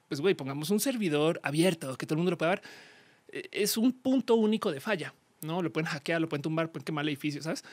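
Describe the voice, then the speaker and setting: A woman in her thirties with a Colombian accent, a podcast guest explaining things casually with slang and acted-out exchanges, on a studio boom mic. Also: no echo. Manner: animated, slangy, acts out quoted exchanges. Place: small indoor studio. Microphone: studio mic on boom arm, headphones.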